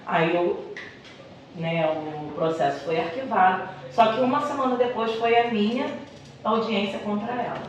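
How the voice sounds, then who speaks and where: A woman's voice: medium pitch, unhurried, muffled recording. Echoey, distant Brazilian woman telling personal stories. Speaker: schoolteacher, podcast guest. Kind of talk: conversational interview, personal storytelling. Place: echoey indoor room, far from the mic. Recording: echoey room, distant mic, noisy background.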